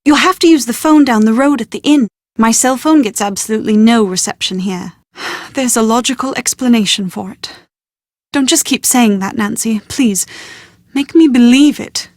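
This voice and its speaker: A dry, close recording of an actress playing a young bride-to-be in a video game, her voice on the high side. She is articulate and proper, earnest and a little bossy, with an anxious, skeptical edge. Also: moderate pace, dry recording, close to an everyday voice. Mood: pleading.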